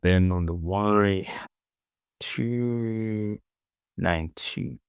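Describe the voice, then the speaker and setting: A man giving an informal software tutorial as a voice-over, often repeating words and short phrases. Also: on the low side, extremely slow, creaky, clear, resonant tone, no room sound, clean studio sound. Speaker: 3D modelling tutor. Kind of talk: casual screen-recorded software tutorial.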